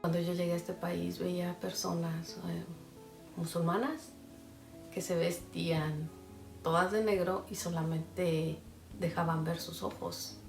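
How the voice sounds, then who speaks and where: Woman interviewee, measured and thoughtful, lower voice, roomy off-mic sound. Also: little pitch movement, creaky, a little mellow, recorded from a distance, soft background music. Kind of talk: reflective interview answers. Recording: echoey room, off-mic.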